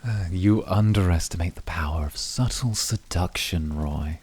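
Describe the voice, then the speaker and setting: Casting: male actor as calm, brooding incubus, extremely deep, raspy, quietly seductive. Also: no echo. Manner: measured, quietly seductive, intimate. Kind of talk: scripted flirty ASMR roleplay.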